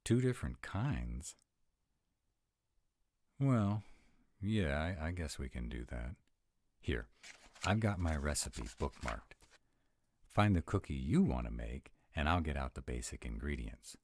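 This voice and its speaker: A man's voice: rumbling low, lively intonation, a little slow, pauses often, dry recording, full character voice. A man voicing a grumpy, rule-bound were-dragon boyfriend in a roleplay audio, speaking with fussy, exasperated emphasis. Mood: fussy, cooperative.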